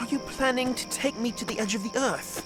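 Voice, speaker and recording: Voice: mid-range voice, fairly quick, loud music behind the voice, close to an everyday voice. An actor playing a haughty, weary young royal boy in an audio drama, with formal, precious phrasing. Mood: puzzled, weary. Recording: clearly noisy track with outdoor ambience.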